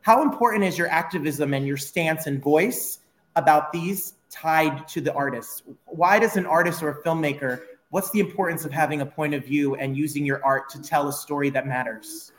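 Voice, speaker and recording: A man's voice: fairly high pitch, moderate pace. A man, a musical theatre teacher, interviewing a guest for his students, encouraging and explaining terms as he goes. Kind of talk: classroom interview.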